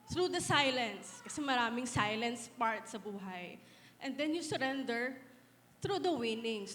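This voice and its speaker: A woman in her thirties shares a faith testimony in a fairly high, soft, reflective voice. Her speech mixes English and Filipino. A long hall reverb trails behind her, with faint noise. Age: 30s. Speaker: guest speaker, solo parent.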